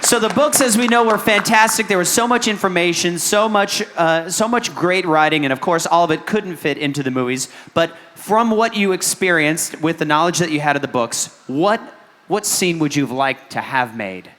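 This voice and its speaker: A man's voice: a little high, lively intonation, moderate pace, fairly bright tone. A man moderating a convention panel, upbeat and encouraging. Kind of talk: event hosting. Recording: hall reverb with faint noise.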